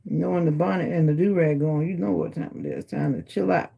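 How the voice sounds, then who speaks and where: A low, gravelly woman's voice, husky and raspy from a sinus infection, talks casually and drowsily to her livestream viewers. She sounds worn out after an early workday and teases people in the chat by name. Her tone is dark and mellow, heard through a phone at home. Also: fairly quick. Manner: chatty, teasing viewers by name. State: sleepy and exhausted. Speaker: livestream host. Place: at home indoors.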